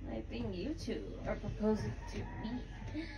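A woman improvising a tipsy girlfriend roleplay in ASMR style, with a halting, drawn-out delivery. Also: mid-range voice, expressive. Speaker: amateur roleplay performer. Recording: noisy home recording with clicks.

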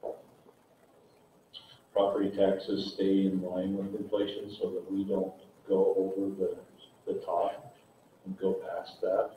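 A man's voice: low-pitched, completely flat delivery, slow pace, long pauses, warm tone. A man running for office, answering a forum question into a handheld mic in a large community hall, hesitant and self-deprecating with many fillers. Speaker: political candidate. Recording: echoey hall, distant, phone-like, faint hiss.

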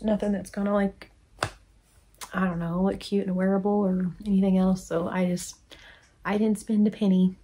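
Casual craft vlogger: woman, average pitch, folksy and rambling delivery. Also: warm tone, husky. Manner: folksy, rambling, chuckles often. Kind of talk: casual chat.

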